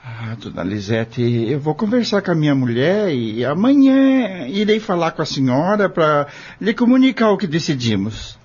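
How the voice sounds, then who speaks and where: A man playing a humble, hesitant farm employee in an audio drama, with a husky, deferential voice. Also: fairly high pitch, very animated, moderate pace, a little mellow, muffled recording. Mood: grateful, deferential.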